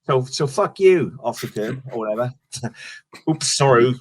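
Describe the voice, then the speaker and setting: A man's voice: mid-range voice, lively intonation, measured pace. A middle-aged Welsh comedian chatting casually in an interview, rambling and restarting his sentences. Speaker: stand-up comedian guest.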